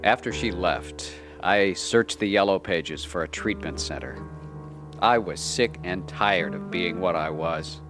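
A man's voice: low voice, a little slow, loud music behind the voice. An actor playing an earnest, insecure man struggling with addiction in a radio drama, sometimes hesitant and defensive. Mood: resolved narration. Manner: hesitant, stammering in dialogue. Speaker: lead actor and narrator. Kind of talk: radio drama acting and first-person narration.

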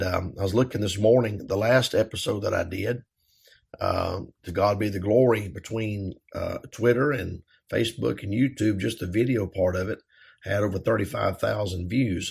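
A man, a pastor hosting his own podcast, talking earnestly with a dry, raspy throat and plenty of "uh" fillers. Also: lowish voice. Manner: earnest, folksy, frequent "uh" fillers. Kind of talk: casual podcast monologue.

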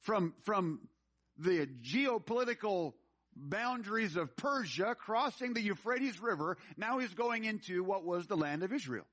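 Higher-pitched male preacher, emphatic delivery. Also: lively intonation, measured pace, fairly bright tone. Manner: emphatic, repeats phrases for effect; conversational, illustrates with anecdotes and asides. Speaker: pastor preaching. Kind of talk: sermon to a church congregation. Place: speaking before a live congregation.

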